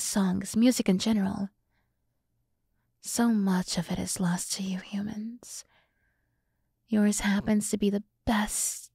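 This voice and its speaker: Woman playing a boastful demon woman, ASMR roleplay, soft and mellow, whispery, seductive, close dry sound. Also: medium pitch, no echo, exaggerated voice. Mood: boastful, devoted. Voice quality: breathy, soft, often dropping to a whisper.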